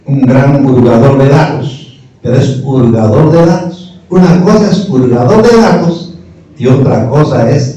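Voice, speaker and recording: A man's voice: mid-range voice, warm tone. A middle-aged man from Peru, giving a didactic panel talk that argues point by point with rhetorical questions. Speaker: speaker at a history panel. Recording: distant, echoey, muffled room recording.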